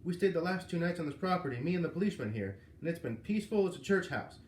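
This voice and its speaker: A man's voice: fairly high pitch, expressive, fast, darkish tone, voice pushed up, natural voice. A man voicing a folksy construction foreman with a rustic, ungrammatical, placating manner in a storybook reading. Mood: eager, folksy. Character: folksy, eager-to-please male construction foreman. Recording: roomy, echoing, noisy home recording.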